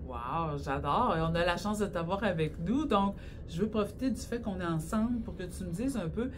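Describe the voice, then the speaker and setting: A woman's voice: on the low side, moderate pace, noisy background. A woman, hosting an interview and asking casual, enthusiastic questions. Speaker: host. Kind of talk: interview host.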